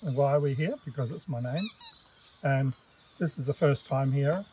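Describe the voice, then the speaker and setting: A relaxed Australian man in his fifties talks casually to camera at a shady bush campsite, with plenty of ums and uhs. His voice sits at an ordinary middle pitch. Soft outdoor noise and birdsong run underneath. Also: normal speed. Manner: relaxed, chatty, with many fillers. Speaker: travel vlogger. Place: outdoors in a pine forest campsite. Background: birdsong and bush ambience.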